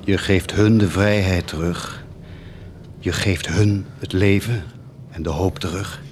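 Slow, bitter, deep-voiced accuser. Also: male voice, fairly even intonation, a little hiss or hum. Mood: bitter, solemn. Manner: grave, deliberate, often half-whispered. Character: bitter, accusing betrayer who sternly keeps watch. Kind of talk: radio drama acting.

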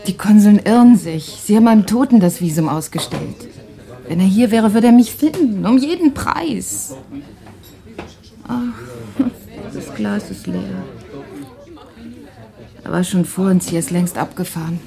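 An actress playing an anguished young refugee wife in a radio play, speaking haltingly and intensely, at times breathy. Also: female voice, medium pitch, big pitch swings, dark tone, some chatter behind. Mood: agitated, insistent. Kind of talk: dramatic radio play acting.

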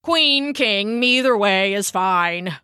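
A woman's voice: medium pitch, lively intonation, slow pace, bright, forceful tone, clean tone, no echo, studio quality. Woman playing a blustery, grumpy old male bullfrog. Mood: sheepish, mumbling.

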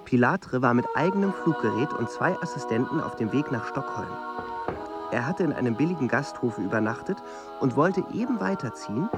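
A man narrating a radio play at a middling pitch, brisk and matter-of-fact in delivery. Loud music plays right under his voice. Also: lively intonation. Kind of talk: scripted narration.

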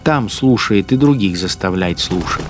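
A man's voice: on the low side, animated, moderate pace, soft background music, natural voice. An actor playing a cruel, mocking collaborator policeman in a radio drama, speaking his inner monologue as he toys with his victims. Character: cruel, sneering wartime collaborator policeman with machine gun. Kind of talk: dramatic first-person interior monologue.

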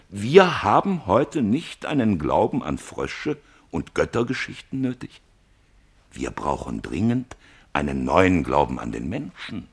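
Casting: a self-righteous arch-priest for radio drama, low voice, oratorical, swooping emphatically. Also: male voice, husky, heavily acted. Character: grandiloquent male arch-priest, fervent, combative and self-righteous. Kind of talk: German radio play acting.

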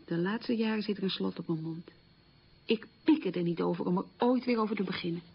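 An actress playing an opinionated, weary middle-aged schoolteacher who confides frankly in a conversational radio monologue. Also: female voice, mid-range voice, fairly quick, husky, highs cut off. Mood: resigned. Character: opinionated, weary schoolteacher mother marked by the war.

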